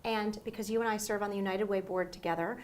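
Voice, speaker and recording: A woman's voice: medium pitch, steady tone, quick pace, a little mellow, a little hiss or hum. A woman hosting a podcast interview, chatty and enthusiastic as she draws out her guest. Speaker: podcast host. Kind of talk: conversational interview. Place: local area in the Lehigh Valley, Pennsylvania.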